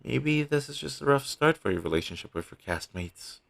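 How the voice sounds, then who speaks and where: A man playing a devoted, apologetic talent agent in an audio roleplay, earnest and hesitant, with a husky, gravelly voice on a low-quality amateur recording. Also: animated, normal speed, fairly bright tone. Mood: relieved, reassuring. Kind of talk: scripted audio roleplay, intimate one-sided dialogue.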